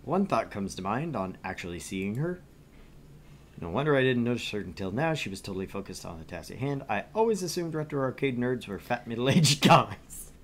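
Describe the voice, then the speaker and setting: A man's voice: mid-range voice, animated, a little fast. A man streaming a visual novel, reading its narration aloud and chatting casually about it. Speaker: streamer and reader.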